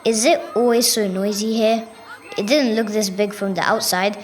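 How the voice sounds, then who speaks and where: A woman's voice: mid-range voice, normal speed, faint music behind, natural voice. A young girl playing an eager, wondering visitor exploring the internet in a school radio play. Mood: amazed. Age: child. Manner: excitable, wondering.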